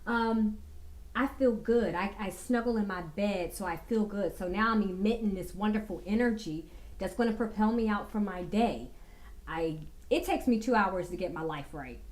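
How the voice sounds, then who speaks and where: A woman vlogger talking to her viewers about getting a full life, slow and reflective with many fillers, a little raspy from a cold. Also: medium pitch. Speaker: vlogger giving life advice. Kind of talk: casual talking-to-camera advice.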